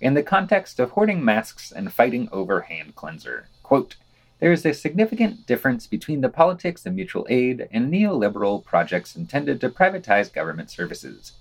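A man reads news for a podcast from a script. His voice sits at a middle pitch and swings in a lively way, with dry, wry commentary slipped in between the quotations. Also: moderate pace, poor audio. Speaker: podcast co-host reading news.

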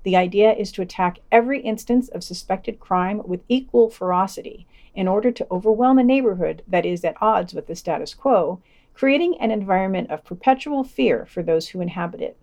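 A woman's voice: medium pitch, brisk, a little mellow. A woman reading scripted podcast news summaries and quoted articles, with wry editorial touches.

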